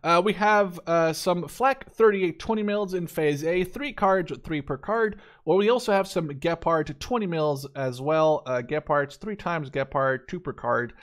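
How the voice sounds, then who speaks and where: Higher-voiced young man doing casual game commentary. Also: measured pace, few pauses. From Netherlands. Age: 20s. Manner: rambling, opinionated, informal with casual swearing. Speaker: gaming YouTuber reviewing a game deck. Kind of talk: casual unscripted gameplay commentary.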